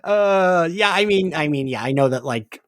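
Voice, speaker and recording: A man hosting a podcast in casual chat, informal and conciliatory as he softens his opinions. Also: fairly high pitch, animated, normal speed, no echo, studio quality. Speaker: podcast host.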